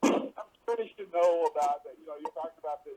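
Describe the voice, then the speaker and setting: A man asking halting, rambling questions as a sports reporter on a conference call. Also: fairly high pitch, very noisy.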